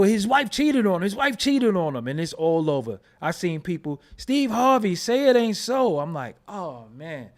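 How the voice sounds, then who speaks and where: A man with a New York accent giving loose, profane commentary and often asking "you know what I'm saying". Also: fairly high pitch. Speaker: commentary vlogger. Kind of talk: casual commentary.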